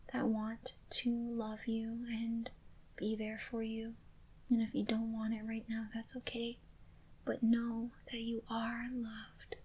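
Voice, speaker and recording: A woman speaking in a soft, gentle whisper, reassuring a listener in a comforting ASMR roleplay. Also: on the high side, husky, poor audio.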